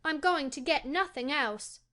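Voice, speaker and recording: Woman voicing a high-pitched, imperious invalid boy. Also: measured pace, bright, forceful tone. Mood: eager, determined. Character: frail, imperious, excitable invalid boy, about ten. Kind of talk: audiobook character voice.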